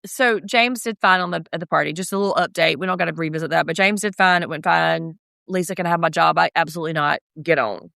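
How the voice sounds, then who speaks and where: Brief: podcast co-host, woman, slightly deep voice, dry close mic, wry and animated. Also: quick pace, gravelly, few pauses, dry recording. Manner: chatty, sardonic, animated asides. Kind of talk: casual recap chat.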